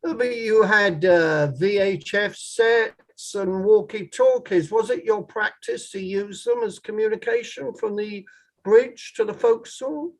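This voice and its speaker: An actor as a courtroom inquiry lawyer in a read-through of a drama. The questioning is formal and measured, pressing the witness step by step at a middling pitch. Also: close to an everyday voice, poor audio. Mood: formal, probing.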